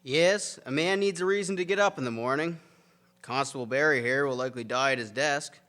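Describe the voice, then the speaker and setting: An actor playing an earnest, matter-of-fact police constable in a radio drama. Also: male voice, mid-range voice, brisk, sharp tone, close to an everyday voice. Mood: wry.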